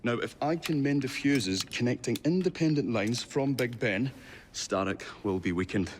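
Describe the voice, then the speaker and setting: An actor playing an earnest, courteous and easily flustered Victorian inventor in a video game. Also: male voice, fairly low pitch, a little hiss or hum, lightly acted. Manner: flustered, hesitant, old-fashioned polite. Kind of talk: video game cutscene acting.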